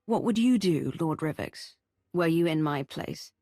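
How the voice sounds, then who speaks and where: A cool, commanding female Sith from a video game. Her voice is on the low side with lively intonation, assertive and decisive. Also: unhurried, exaggerated voice. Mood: resolute.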